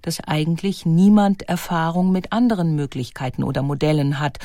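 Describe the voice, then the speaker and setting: A woman reading scripted documentary narration in a measured, articulate way. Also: deep voice, warm tone, dry recording, clean studio sound.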